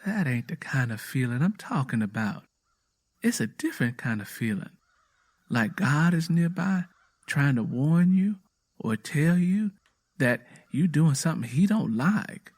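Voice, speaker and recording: A man's voice: on the high side, sing-song, measured pace, a little mellow. A man voicing an earnest, anxious, devout young wife with an American Southern accent and a pleading rural way of talking, in a narrated story. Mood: earnest, reverent.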